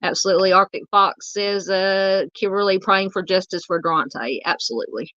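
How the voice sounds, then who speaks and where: A woman's voice: medium pitch, moderate pace, fairly bright tone, no echo, dull audio. A woman hosting a true crime livestream, chatting casually and reading viewer comments, her voice worn and tired from talking. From Southern United States. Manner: rambling, chatty, many fillers. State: losing her voice.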